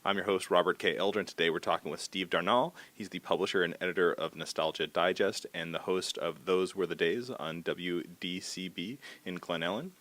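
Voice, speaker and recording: A man's voice: sits low, a little fast, hardly any pauses, fairly bright tone. A man hosting a conversational podcast interview, speaking informally with hesitant phrasing.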